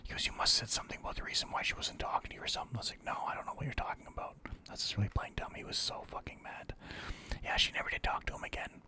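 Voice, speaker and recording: A man telling a personal story casually in a breathy whisper, animatedly acting out both sides of conversations. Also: rapid-fire. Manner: animated retelling with quoted dialogue, swearing freely.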